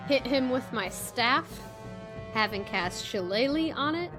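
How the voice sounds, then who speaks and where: A woman playing a tabletop game, chatting casually and thinking her moves through aloud in a deliberate way. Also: fairly high pitch, expressive. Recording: music behind the voice. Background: game soundtrack music.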